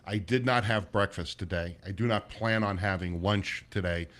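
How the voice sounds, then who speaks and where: A middle-aged man hosting a casual sports talk show, his voice a little raspy, rambling with many restarts and sounding sluggish. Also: fairly low pitch, normal speed, fairly bright tone. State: sluggish, low energy. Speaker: sports radio host.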